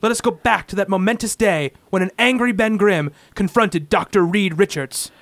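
A man's voice: sits high, moderate pace, fairly bright tone, no echo. A man narrating a comic-book radio play in a grandiose, theatrical style.

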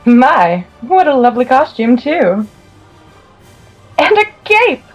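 A sweet young superheroine acted by a woman speaks in a high, lively voice. She is dainty, wide-eyed and full of glee, as in an amateur radio play of a comic book. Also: takes it slowly, soft background music, full character voice, low-quality recording. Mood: wide-eyed, pleased.

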